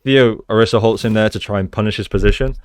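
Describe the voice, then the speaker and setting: A man's voice: fairly low pitch, quick pace, smooth, no room sound, studio quality. A man giving conversational, explanatory commentary on video game play, as a coach breaking down each move. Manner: explanatory, conversational, often checks with "right?". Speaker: gaming coach, analyst.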